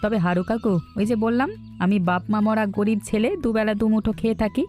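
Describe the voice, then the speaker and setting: A woman's voice: on the high side, fairly even intonation, brisk, a little mellow, faint music behind, close to an everyday voice. A woman playing a poor, humble young orphan lad in a cartoon folktale, earnest and deferential.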